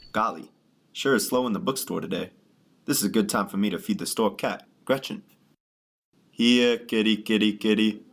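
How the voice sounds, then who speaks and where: A man's voice: on the low side, a little slow, vocal fry, slight background noise. A man playing a humble, folksy, mild-mannered bookstore clerk in an amateur radio drama. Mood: mild, cheerful. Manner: mild, hesitant, old-fashioned exclamations.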